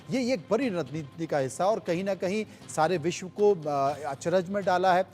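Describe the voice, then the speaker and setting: A man anchoring a live news discussion, speaking emphatically and repeating phrases for effect. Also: sits high. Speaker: news anchor hosting a panel.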